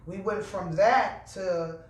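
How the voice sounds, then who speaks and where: A woman calmly recounting childhood memories in a steady, measured testimony. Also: medium pitch, a little hiss or hum. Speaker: interviewee. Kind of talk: personal testimony. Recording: echoey room, mic at a distance.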